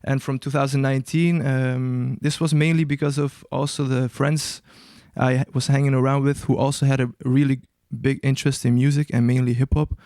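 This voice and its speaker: Smooth-voiced young man, hesitant and searching. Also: medium pitch, little pitch movement, moderate pace, hardly any pauses, mellow, no room sound, clean studio sound. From Albania. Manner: hesitant, searching for words, many restarts. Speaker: guest musician. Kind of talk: casual interview answers.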